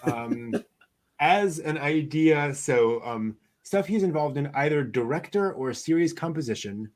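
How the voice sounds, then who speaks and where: A man hosting an anime news show, chatting casually through items with a somewhat halting delivery. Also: fairly high pitch, lively intonation, a little mellow.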